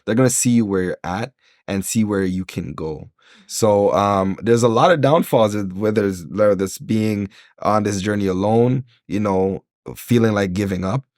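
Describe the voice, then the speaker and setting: A man's voice: on the low side, moderate pace, darkish tone, no echo, studio quality. A man from Jamaica, an entrepreneur guest on a podcast, speaking in an earnest, motivational way with frequent fillers and restarts. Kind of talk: casual interview talk. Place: podcast recording.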